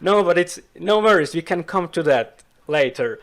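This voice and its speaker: A Swiss man whose first language is Swiss German, speaking careful, slow learner's English as an interview guest. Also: on the high side, expressive, background noise. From Switzerland. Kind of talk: casual interview chat.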